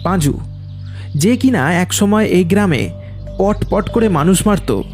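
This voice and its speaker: A man narrates a ghost story with scripted drama. His voice sits a little high, and soft music plays behind him. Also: expressive, a little slow.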